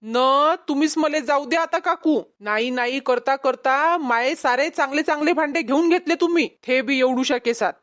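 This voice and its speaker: A woman's voice: high-pitched, normal speed, few pauses, a bit bright. A woman voicing a put-upon village pot peddler in a cartoon comedy, nervous and complaining, with a Vidarbha Marathi accent. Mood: resigned. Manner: whiny, protesting, meekly deferential. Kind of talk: exaggerated cartoon comedy acting.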